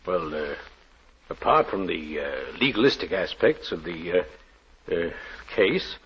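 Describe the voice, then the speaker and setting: An actor playing a startled, skeptical criminal lawyer in a 1940s radio drama. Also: male voice, deep voice, big pitch swings, measured pace, slightly rough, exaggerated voice. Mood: probing, skeptical. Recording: muffled, noisy 1940s radio recording.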